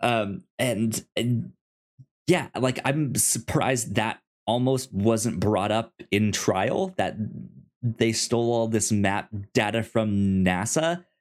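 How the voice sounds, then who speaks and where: Slow, halting man with a lowish voice. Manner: halting, stammering, repeats words. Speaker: podcast co-host. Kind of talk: casual review chat.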